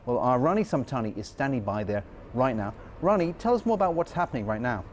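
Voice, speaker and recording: Male studio news anchor, mid-pitched. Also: big pitch swings, quick pace, slight background noise. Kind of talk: scripted studio news presenting.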